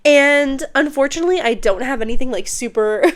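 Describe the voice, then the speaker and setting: A woman's voice: sits high, fairly quick. A young woman hosting her own podcast, chatty and bubbly, sounding congested while getting over an illness. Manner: bubbly, chatty, uses slang and filler words. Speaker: podcast host. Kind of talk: casual solo podcast chat. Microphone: podcast microphone.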